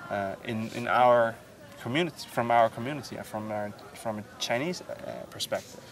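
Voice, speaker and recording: A man from the Netherlands, a native Dutch speaker, talking reflectively as a temple guide in a halting, repetitive way. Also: medium pitch, rough recording. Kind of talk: reflective interview talk.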